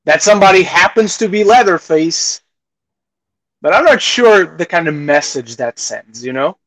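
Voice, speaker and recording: A man with a slightly high voice talks casually as a podcast host giving reviews. He often repeats and restarts phrases, slipping into quoted reactions as he goes. The sound is close and dry. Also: lively intonation, fairly bright tone, dry recording, rough recording. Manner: halting, repeats phrases, acts out imagined reactions.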